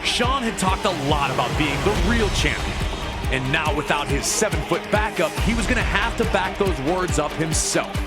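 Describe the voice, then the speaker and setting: Guy with a high voice doing dramatic wrestling documentary narration, loud music under him. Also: expressive, normal speed. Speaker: wrestling commentator narrating a retrospective. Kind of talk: scripted documentary-style sports narration.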